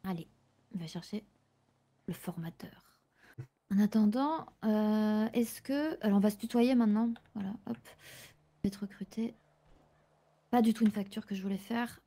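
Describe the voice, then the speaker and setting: Une femme jouant une patronne de garage organisée dans un jeu de rôle improvisé, qui explique en hésitant. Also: female voice, medium pitch, normal speed, pauses often, mellow, close to an everyday voice. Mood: calm, explanatory. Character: business-like woman boss of a car garage, orderly. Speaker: manager recruiting a new employee. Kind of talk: improvised online roleplay dialogue.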